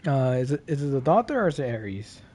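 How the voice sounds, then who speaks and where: A man's voice: medium pitch, big pitch swings, a little fast, mellow. A man streaming a video game, thinking aloud in informal, sweary live commentary.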